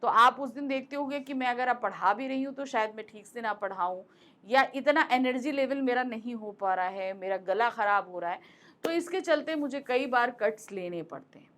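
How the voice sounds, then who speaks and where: Woman, teacher, rather high voice, conversational, rhetorical questions, close mic. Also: moderate pace, fairly bright tone. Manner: conversational, repeats points, asks rhetorical questions. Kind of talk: explaining a Hindi lesson to students.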